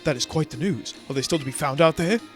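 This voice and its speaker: A man's voice: fairly high pitch, fast talker, faint music behind, close to an everyday voice. A man reading aloud the lines of a formal, polite male school director from a video game, in a stiff read-aloud manner.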